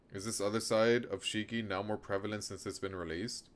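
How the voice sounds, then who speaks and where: A man's voice: lowish voice, fairly even intonation, moderate pace. A man streaming a game, switching between reading story narration aloud and commenting casually. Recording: clear background noise, rainy ambience. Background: noise, rain during a stream.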